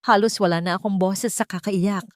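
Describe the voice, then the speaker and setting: A woman's voice: mid-range voice, steady tone, moderate pace, no room sound, studio quality, natural voice. Woman playing a weary, heartbroken young mother. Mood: weary, doubtful. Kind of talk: audio drama storytelling.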